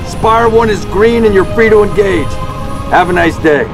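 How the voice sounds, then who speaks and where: Authoritative battle commander for a game: raised pitch, brisk, heavy score behind. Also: male voice, over music. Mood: confident command. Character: commanding, urgent male squad leader in battle. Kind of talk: video game military acting.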